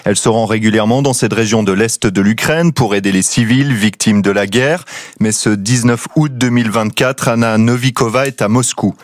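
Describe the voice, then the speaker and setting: A man's voice: fairly low pitch, normal speed, dry recording. Male radio reporter, dry studio voice. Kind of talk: scripted investigative news report.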